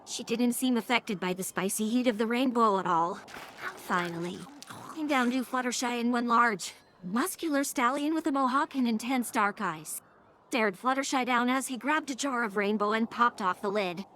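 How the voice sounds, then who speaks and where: High-pitched synthetic woman storyteller. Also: normal speed, fairly bright tone, slight background noise. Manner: synthetic text-to-speech reading. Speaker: narrator. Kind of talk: scripted story narration.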